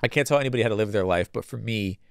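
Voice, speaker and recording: A male podcast host, speaking casually and bluntly, a bit congested and hoarse from a cold. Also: fairly low pitch, rapid-fire, no room sound, clean studio sound. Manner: blunt and casual, swears freely, many restarts. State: congested with a cold, losing his voice. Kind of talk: solo opinion commentary.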